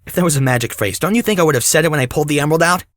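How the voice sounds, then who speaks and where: A man's voice: on the high side, very fast, fairly bright tone, dry recording, clean studio sound, close to an everyday voice. A man playing a sarcastic, casual teenage boy hero in a fan audio drama. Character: sarcastic teenage boy turned giant blue hedgehog hero.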